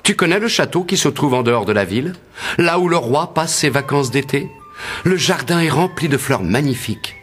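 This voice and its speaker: Kindly, animated cartoon storyteller. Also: male voice, a little high, normal speed, soft background music. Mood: gentle wonder. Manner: reassuring, earnest. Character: kindly, imaginative male tutor and storyteller. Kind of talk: cartoon character acting.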